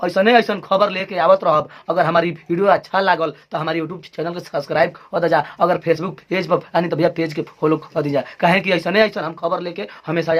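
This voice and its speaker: A man's voice: a little high, steady tone, moderate pace, few pauses. A man with a Bhojpuri accent hosting an informal video news commentary, speaking straight to his viewers. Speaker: video channel host.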